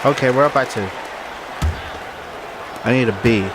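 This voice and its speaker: A man's voice: medium pitch, moderate pace, heavy background noise. A man chatting casually as he plays a game, in short muttered reactions. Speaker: gamer. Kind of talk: casual gameplay chat. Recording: loud game music and crowd behind.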